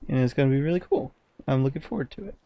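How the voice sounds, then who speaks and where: A man's voice: mid-range voice, animated, very fast, dark tone, no echo, soft background music. A young man co-hosting a podcast, chatting in an informal, slangy way. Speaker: podcast co-host. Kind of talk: casual chat.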